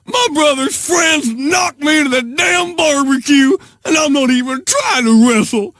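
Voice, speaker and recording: An actor playing an aggrieved blue-collar factory worker guesting on a talk show in a video game, complaining slowly in an exclamatory whine. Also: male voice, extremely high pitch, a bit bright, no room sound, studio quality. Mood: aggrieved, angry.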